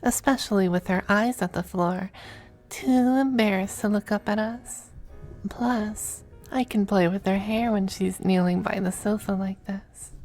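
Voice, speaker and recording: Woman doing a possessive demon femboy for asmr, unhurried n crackly, breathy, teasing, fancy manners. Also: mid-range voice, darkish tone, soft background music, close to an everyday voice. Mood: languid, teasing. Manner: genteel, languid, commanding endearments. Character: suave possessive high-ranking demon femboy, affectionate and teasing. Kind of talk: ASMR roleplay.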